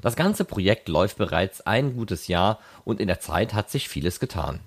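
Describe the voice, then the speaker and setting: A man's voice: on the low side, lively intonation, normal speed, vocal fry. A man presenting a podcast as host, reading scripted narration.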